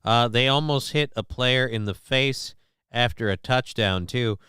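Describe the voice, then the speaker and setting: A man's voice: medium pitch, a little slow, pushed and bright, clean tone, dry recording, studio quality. A man from Minnesota hosting a casual live show, rambling and swearing while he answers the chat, with lots of restarts and fillers.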